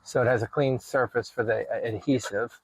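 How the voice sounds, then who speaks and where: A man's voice: medium pitch, fairly even intonation, normal speed, slightly rough, dry recording, poor audio. A man narrating a hands-on DIY vlog in an informal way, thinking aloud as he works. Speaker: video creator showing a DIY radio install.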